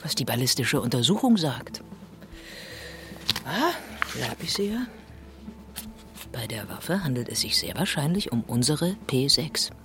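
A man's voice: medium pitch, very animated, measured pace, pauses often, fairly bright tone, faint music behind. A man playing a matter-of-fact, persistent police detective in a radio drama, speaking in an insistent, businesslike way. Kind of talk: German radio drama acting.

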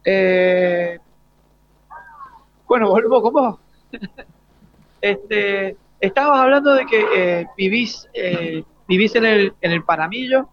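A man's voice: high-pitched, dull audio, a little hiss or hum. A man with an Argentine accent conducting a live radio interview, hesitating and repeating words as he forms his questions. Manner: halting, repeats words while forming questions.

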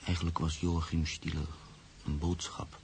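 An actor playing a grave, reflective man who narrates his own story in a radio drama, gravelly and measured. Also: extremely low pitch, flat delivery. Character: Freek, reflective grave man, narrator-protagonist moved by loss. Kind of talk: literary radio drama monologue. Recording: faint background noise.

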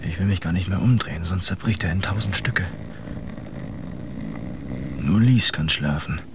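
Low-voiced, despairing sailor. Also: male voice, moderate pace, noisy background. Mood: weary, desperate. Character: worn-down, hungry sailor near despair. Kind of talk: radio drama acting. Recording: clear rumbling ambience behind voice.

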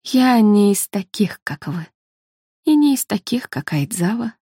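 A woman narrator voicing a quiet, fragile, melancholy woman from a novel, speaking hushed, hesitant and slow. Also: medium pitch, big pitch swings, breathy edge, no echo, studio quality. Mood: hesitant, sad.

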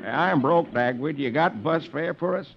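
A man's voice: a little high, a little slow, gravelly. An announcer playing a cowardly, henpecked neighbor man who talks in a hesitant, mumbling way. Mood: nervous. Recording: dull old radio broadcast with music.

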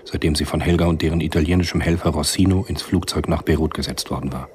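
Very deep, grave thriller narrator. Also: male voice, steady tone, fast, a little mellow, slight background noise. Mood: grave, measured. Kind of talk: scripted literary narration in a radio play.

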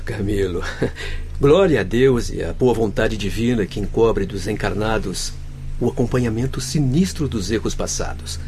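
A man's voice: lowish voice, a little hiss or hum, close to an everyday voice. A man playing a grave, sorrowful doctor and spiritual mentor in a radio drama, speaking formally and solemnly. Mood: reflective. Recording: faint music behind. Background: soft background music.

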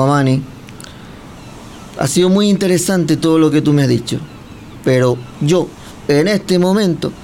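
Gravelly man, chatty and teasing. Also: a little high, darkish tone, a little hiss or hum. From Cuba. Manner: chatty, teasing, drawn-out storytelling. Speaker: guest interviewer. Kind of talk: casual chat.

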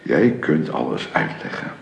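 A man's voice: very deep voice, big pitch swings, unhurried, creaky, slightly rough, heavily acted. An actor playing an earnest, protective middle-aged father in a radio drama, speaking in a solemn, paternal way. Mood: gentle, grave.

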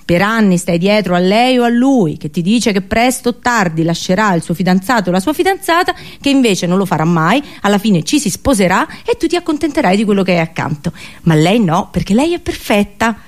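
A woman's voice: mid-range voice, very animated, fairly quick. A woman performing a comic theatrical monologue for radio, colloquial and sarcastic, full of exaggerated rhetorical questions.

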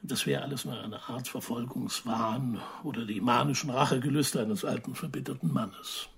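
An actor playing a measured, sceptical journalist in a radio play, speaking reflectively with pauses. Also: male voice, medium pitch, fairly even intonation, gravelly, a bit bright, husky, heavily acted. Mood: pondering, sceptical. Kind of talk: radio play narration and dialogue.

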